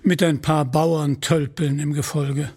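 A man playing a slow, smug, scheming sheriff. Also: fairly high pitch, little pitch movement, breathy edge, full character voice. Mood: sly, calm. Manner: quiet, unhurried, sly. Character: scheming, smug, calm Sheriff villain, whispering. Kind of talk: storybook character acting.

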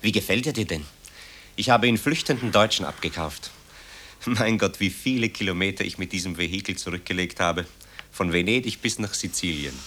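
Deep-voiced, evasive grown son. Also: male voice, fairly quick, fairly bright tone, a little hiss or hum. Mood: light, deflecting. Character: restless, evasive composer son, politely placating his mother. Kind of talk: German radio play acting.

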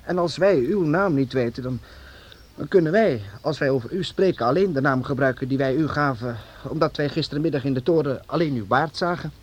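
A man's voice: a little high, fairly quick, slightly rough, dull audio. An actor playing a polite, curious young painter's apprentice in a radio drama, courteous and a little hesitant in his speech. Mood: polite, nervous. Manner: courteous, hesitant, stammering when addressing his elder. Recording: noisy old radio drama recording.

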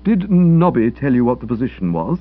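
A man's voice: medium pitch, sing-song, a little fast. A man voicing a gloomy, self-pitying young upper-class English writer in a comic audiobook, grumbling theatrically. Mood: aggrieved. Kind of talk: comic audiobook character dialogue. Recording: dull lo-fi radio-style recording.

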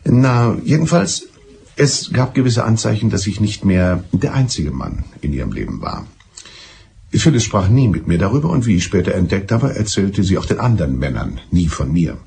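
Gravelly, pedantic betrayed husband. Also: male voice, low voice, big pitch swings, mellow, slight background noise, heavily acted. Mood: wistful, matter-of-fact. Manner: deliberate, pedantic, circuitous. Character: mild, evasive betrayed husband confessing, smugly clever. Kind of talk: German radio drama acting.

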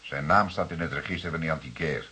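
A composed radio-play detective with a very deep, slightly rough voice. He is courteous and formal. He questions deliberately and does not let go. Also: bright, forceful tone, full character voice. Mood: insistent. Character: composed, probing male police detective, courteous but insistent. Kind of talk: Dutch radio play acting.

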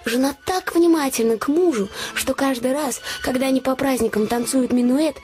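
A woman's voice: sits high, normal speed, darkish tone, faint music behind, natural voice. A woman playing an earnest, chatty young innkeeper's daughter, confiding and artless, in a fairy-tale radio play. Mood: amused, confiding.

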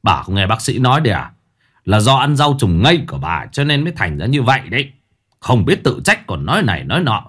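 A man voicing a quick-tempered, flustered older village husband who snaps and then pleads. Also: medium pitch, big pitch swings, a bit bright. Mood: scolding. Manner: gruff, pleading.

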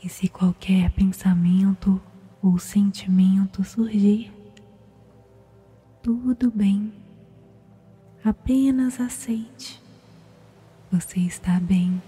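A woman with a Brazilian accent softly whispers a guided sleep meditation in a slow, soothing, gentle manner. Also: mid-range voice, fairly even intonation. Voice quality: breathy, whispery. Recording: music behind the voice. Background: meditation music.

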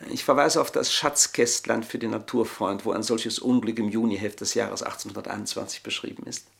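An actor in a German radio play playing a scheming, gloating manservant with a pompous, mock-deferential, savouring delivery. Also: male voice, lowish voice, steady tone, brisk, creaky, a bit bright. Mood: ominous, gloating.

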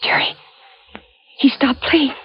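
An actress playing an anxious, frightened sister in a 1940s radio thriller, husky and earnest. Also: female voice, slow, a crowd in the background, natural voice. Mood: alarmed, anxious. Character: frightened, anxious sister stranded in a sinister house. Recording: dull old radio recording with music.

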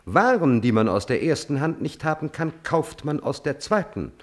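An actor playing an obsequious, coolly calculating courtier in a classical German radio drama, speaking in a measured, insinuating manner. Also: male voice, a little high, big pitch swings. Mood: cynical, insinuating.

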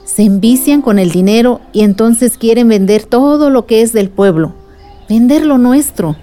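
An actress in a radio drama playing an earnest, patient adult who explains village struggles to a child. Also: female voice, on the high side, normal speed, mellow, faint music behind, lightly acted.

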